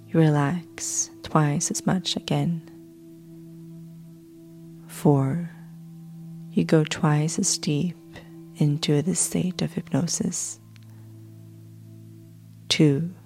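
A woman podcast host narrating a guided sleep hypnosis in a soft, soothing way, with breathy phrase endings. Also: low voice. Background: background music.

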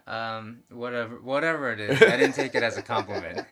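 A man's voice: mid-range voice, a little fast, over a noisy crowd. A man in his forties chatting casually as a podcast host, rambling and laughing often. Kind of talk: casual chat.

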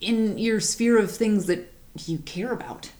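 A woman with a deep voice co-hosting a podcast, chatting casually, animated and swearing freely. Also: unhurried. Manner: animated, swearing, laughs often. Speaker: podcast co-host. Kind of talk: casual chat.

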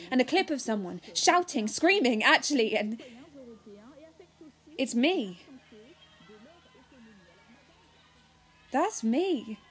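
A woman's voice: fairly high pitch, lightly acted. A woman playing a restless, defiant teenage schoolgirl, telling her story intensely in a first-person audio drama monologue. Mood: urgent, shaken. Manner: intense, urgent, confiding, swearing.

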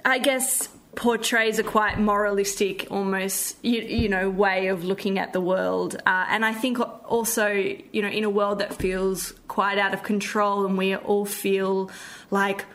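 A woman speaks as an interview guest. Her pitch is average for a woman. She sounds conversational and reflective, with an earnest way of making her point. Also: fairly bright tone. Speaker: podcast guest, theatre director.

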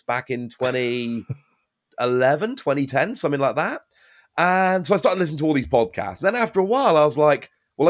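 A man in his thirties, a podcast host, chatting casually and telling stories with animation. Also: medium pitch. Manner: animated, lively storytelling. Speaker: podcast host and card game caster. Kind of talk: casual podcast interview chat.

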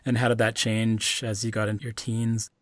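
A man's voice: lowish voice, completely flat delivery, moderate pace, dry recording, studio quality. Earnest man hosting a podcast. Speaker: podcast host. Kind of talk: scripted podcast narration and interview questions.

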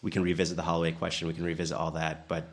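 A deep-voiced man talks quickly on a football podcast. He lays out his predictions in a calm, measured way. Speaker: podcast panelist. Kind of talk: casual football podcast chat.

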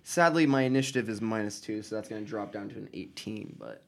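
A man playing a tabletop game, describing his character's actions in a relaxed, casual way. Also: on the low side, fast, vocal fry, slight background noise. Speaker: player.

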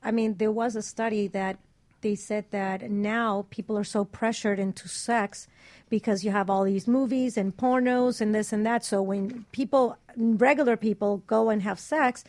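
A woman's voice: mid-range voice, steady tone, moderate pace, a little mellow, no room sound. Blunt woman, close dry sound. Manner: blunt, explicit. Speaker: radio talk show co-host. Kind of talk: casual opinionated chat.

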